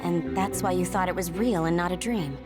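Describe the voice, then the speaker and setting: An actress playing a calm, analytical young squad member in a video game cutscene. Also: female voice, fairly low pitch, a little fast, close to an everyday voice. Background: loud music.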